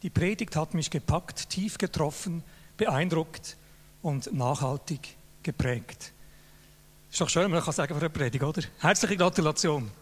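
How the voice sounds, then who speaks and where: A man's voice: fairly high pitch, moderate pace. An older man with a Swiss German accent, speaking into a handheld mic on a stage in a large hall, presenting a prize in an informal, praising way. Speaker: jury member presenting an award. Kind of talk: Swiss German laudatory speech. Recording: hall reverb.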